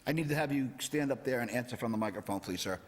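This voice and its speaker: A man's voice: mid-range voice, fast. A man chairing a town zoning hearing, politely directing speakers and asking questions. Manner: polite, procedural, asks questions. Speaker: board chair. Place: town hall meeting room. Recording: reverberant hall.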